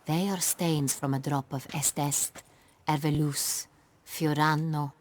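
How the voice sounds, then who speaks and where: An actress playing a proud, strong-willed duchess in a fantasy game, speaking in a formal, commanding, regal manner. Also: female voice, low-pitched, steady tone, slow pace. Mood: insistent.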